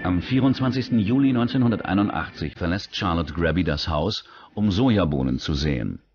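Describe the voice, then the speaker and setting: A man's voice: low voice, animated, fairly quick, lo-fi sound, music in the background. A man reading a scripted true-crime documentary as its narrator.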